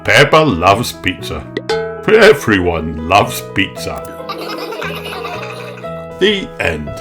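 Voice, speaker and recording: Low-voiced, animated man reading a storybook. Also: extremely slow, over music. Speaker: children's book narrator. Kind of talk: expressive read-aloud storybook narration.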